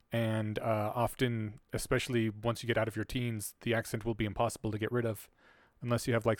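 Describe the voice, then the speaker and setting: A man whose first language was Polish, talking casually as a podcast co-host. He sounds curious and chatty, with a mid pitch. The recording is dry and close to the mic. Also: no room sound. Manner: curious, chatty, thinks out loud. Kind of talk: casual discussion.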